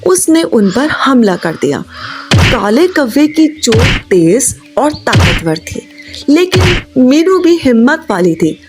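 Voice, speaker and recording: A woman tells a children's story in a high, very animated voice. She reads her script at an unhurried pace as a narrator, with music playing underneath. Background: background music.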